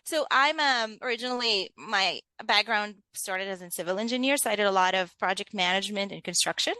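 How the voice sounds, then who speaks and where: A woman's voice: mid-range voice, brisk, sharp tone, dry recording, poor audio. A woman with a Lebanese Arabic accent, speaking casually as a coach being interviewed. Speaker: interview guest, leadership coach.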